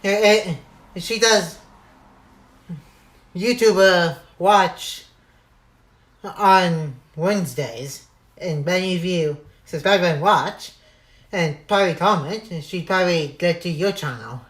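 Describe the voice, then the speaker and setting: A man's voice: high-pitched, slow pace, pauses often, fairly bright tone, poor audio. A young man hosting a casual live stream with a friend, answering in short replies. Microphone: voice call.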